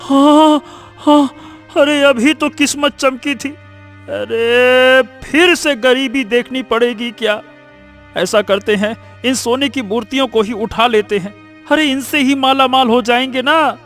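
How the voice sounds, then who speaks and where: A man acts out a greedy villager from a cartoon folk tale. The voice is very high for a man and unhurried, full of drawn-out exclamations and repeated words. Music plays underneath. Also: a bit bright, full character voice. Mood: dismayed, scheming. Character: greedy excitable village man, friend of Mohan. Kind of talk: animated Hindi moral story voice acting.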